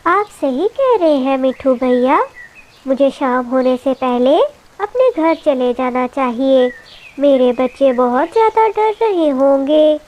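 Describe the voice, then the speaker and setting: A woman's voice: high-pitched, lively intonation, takes it slowly, darkish tone. A woman voicing a small, clever mother bird in a children's cartoon, earnest and dramatic as she pleads. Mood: worried. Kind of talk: Hindi children's cartoon story acting.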